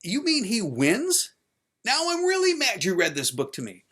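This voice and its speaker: A man voicing an upset, stubborn young boy in a story, emotional, insistent and halting as he pleads with his father. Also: on the high side, a bit bright. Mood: distraught.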